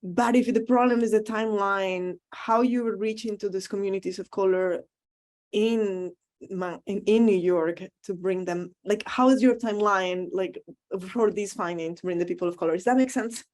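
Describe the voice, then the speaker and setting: A woman's voice: medium pitch, fairly even intonation, moderate pace, a little mellow. A woman from Colombia, a professor asking a speaker an earnest question over a video call, searching for words and restarting often.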